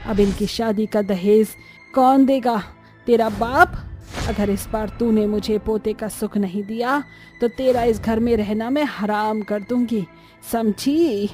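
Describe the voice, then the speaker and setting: A woman's voice: fairly high pitch, unhurried, mellow, soft background music, close to an everyday voice. A woman voicing a cruel, taunting elderly mother-in-law who scolds harshly in a family story cartoon. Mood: bitter, scolding. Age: older.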